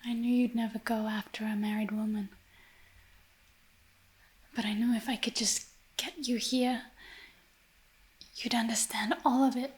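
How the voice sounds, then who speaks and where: A woman playing a scheming, seductive femme fatale in an ASMR noir radio drama, speaking in a soft, breathy, coaxing whisper. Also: fairly high pitch, flat delivery, natural voice. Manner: slow, intimate, coaxing.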